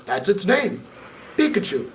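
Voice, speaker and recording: A man voicing an old male professor in a storybook reading, measured and knowing in tone. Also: high-pitched, wildly swooping pitch, a little hiss or hum, exaggerated voice. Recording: voice a bit far from the mic.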